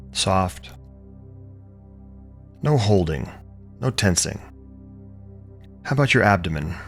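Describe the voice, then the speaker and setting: A man leading a guided relaxation as a yoga teacher, speaking calmly and soothingly in short, measured phrases with pauses. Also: deep voice. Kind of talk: guided meditation, gentle instruction.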